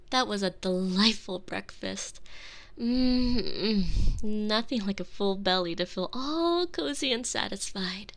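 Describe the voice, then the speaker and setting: A woman's voice: medium pitch, a bit bright. A woman playing an obsessive, cheerful female kidnapper in an ASMR roleplay, doting and menacing, talking with syrupy affection and condescension close to a binaural mic. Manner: saccharine, slow, affectionate, condescending. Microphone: close binaural microphone.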